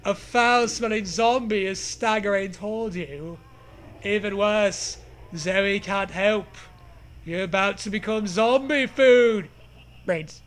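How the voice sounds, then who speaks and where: High-voiced man reading with slow drama. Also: a bit bright. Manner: mock-dramatic, swears casually. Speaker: co-host reading aloud. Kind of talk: comic dramatic read-aloud of an absurd story.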